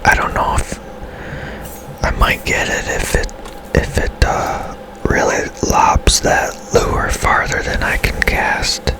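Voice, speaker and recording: A man whispering casual, unhurried ASMR commentary as he plays a video game. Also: poor audio. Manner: whispering throughout. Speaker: gaming streamer.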